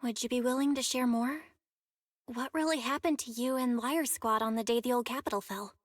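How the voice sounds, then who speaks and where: A woman playing a caring young confidante in a video game, speaking in a gentle, reassuring way. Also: a little high, steady tone, a little fast, no room sound, natural voice. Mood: gently concerned. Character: caring young female confidante, calm and supportive.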